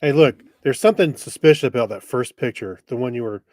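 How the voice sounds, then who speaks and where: A man's voice: medium pitch, fast, darkish tone, no echo. A man co-hosting a podcast, chatting casually in a laid-back, joking manner. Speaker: podcast co-host. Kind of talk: casual chat.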